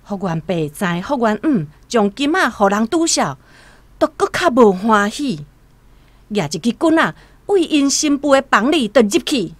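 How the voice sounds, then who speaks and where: A Taiwanese woman narrates a radio drama expressively. Her voice is a bit high, very varied in pitch and takes its time. Music plays behind her. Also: a little slow, no echo. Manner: expressive storytelling.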